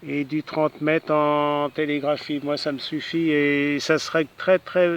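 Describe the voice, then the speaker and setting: A man's voice: fairly high pitch, little pitch movement, a little slow, slight background noise. A man talking casually as an amateur radio operator, hesitating and often ending phrases with little tag words. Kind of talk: casual ham radio contact chat.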